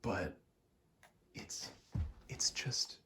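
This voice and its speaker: A man giving a rambling ASMR gaming commentary, halting and meandering as he thinks aloud while playing. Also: noisy background. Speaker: gaming video creator.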